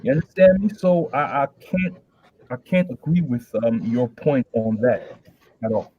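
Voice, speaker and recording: A man from Nigeria speaking on an online debate panel, measured and a bit hesitant. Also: medium pitch, animated, muffled recording.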